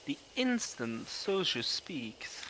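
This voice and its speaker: A man's voice: fairly high pitch, very animated, slow pace, bright, forceful tone, a little hiss or hum, rough recording. A man reading a horror visual novel aloud on a livestream, with casual commentary in between. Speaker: livestreamer playing a horror game.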